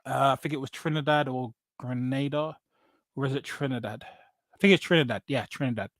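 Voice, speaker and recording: A man's voice: mid-range voice, a little fast, no echo, studio quality. A man from England with an English accent telling personal stories casually as a guest, blunt and emphatic, repeating phrases and often checking in with "right?". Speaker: podcast guest. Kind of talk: casual personal storytelling in conversation.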